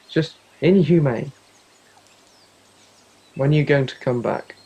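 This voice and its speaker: A man's voice: fairly high pitch, a little slow, mellow, breathy edge, dull audio. A young man in a youth theatre play acting a worried, caring teenage friend, earnest and pleading. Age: young adult.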